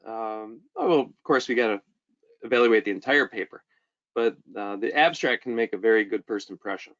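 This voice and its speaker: Male webinar presenter, lower register, informal and hesitant delivery, lo-fi band-limited audio. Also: moderate pace, fairly bright tone. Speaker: webinar presenter, journal editor.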